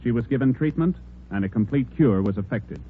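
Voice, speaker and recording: A man's voice: mid-range voice, animated, a little fast, phone-quality sound. An American man reading formal, official-sounding announcements for a 1940s radio programme. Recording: old radio recording, loud brass music behind.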